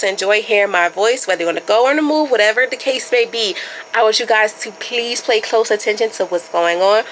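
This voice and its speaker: Young woman, solo podcast host, casual and chatty, slightly congested, crisp bright tone. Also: a little high, slight background noise, rough recording. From Baltimore area. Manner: chatty, loose and informal, addresses listeners as y'all. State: stuffed up from a starting cold.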